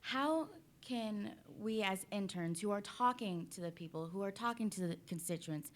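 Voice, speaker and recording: A woman's voice: medium pitch, moderate pace. A young woman, a congressional intern, asking a question in a chatty way.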